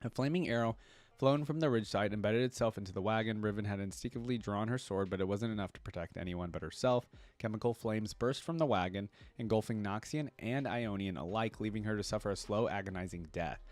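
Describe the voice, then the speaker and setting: A man giving casual reaction commentary as an online creator, reading wiki text aloud and thinking out loud about it. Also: on the low side, fast talker, no echo. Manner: thinks aloud, interjecting between read passages.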